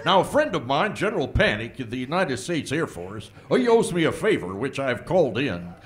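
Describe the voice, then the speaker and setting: A man's voice: mid-range voice, big pitch swings, normal speed, lightly acted. A man playing a blustering small-town sheriff. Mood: boastful. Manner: blustering, folksy. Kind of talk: comic radio play performed before an audience.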